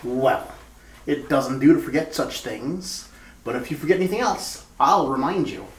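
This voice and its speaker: Nosy know-it-all bellboy played by a man, theatrical and meddling, big pitch swings, roomy radio-play sound. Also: expressive, normal speed, close to an everyday voice. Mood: officious. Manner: officious, pestering, theatrical. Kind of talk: retro radio comedy-mystery acting. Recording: roomy, echoing amateur radio-play recording.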